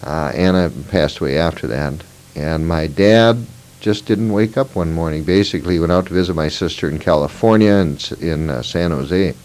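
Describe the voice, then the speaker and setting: Man, fifties, Minnesota-raised, low voice; interviewee telling his life story, rambling, self-correcting. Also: moderate pace, darkish tone, rough recording. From Moorhead, Minnesota. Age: 50s. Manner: reminiscing, meandering with fillers and self-corrections. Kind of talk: oral history interview.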